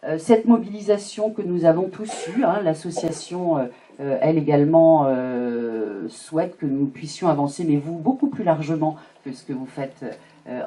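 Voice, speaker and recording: A woman giving a formal, semi-improvised public speech as a local official, halting and often searching for words. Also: sits low, warm tone, a little hiss or hum. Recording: distant microphone.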